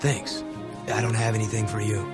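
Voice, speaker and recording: A man's voice: on the low side, level tone, normal speed, over loud music, close to an everyday voice. A man playing a young, determined action hero in a video game, terse and earnest. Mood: subdued. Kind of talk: video game dramatic acting.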